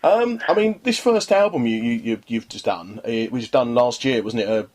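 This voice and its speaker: A man's voice: mid-range voice, expressive, normal speed, a crowd in the background. A middle-aged man hosting a casual radio interview, chatting in a rambling way and often stammering over repeated words. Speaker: radio show host.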